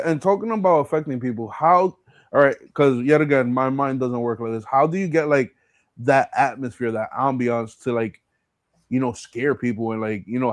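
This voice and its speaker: A man's voice: mid-range voice, no echo. A man hosting a podcast in casual interview chat, informal and slangy, with some swearing. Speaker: podcast host.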